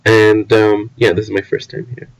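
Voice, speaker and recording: A young international student from Kuwait speaks. His voice sits on the low side. He talks in a measured, hesitant way with pauses and fillers. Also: completely flat delivery, gravelly, low-quality recording. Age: young adult. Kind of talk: documentary interview.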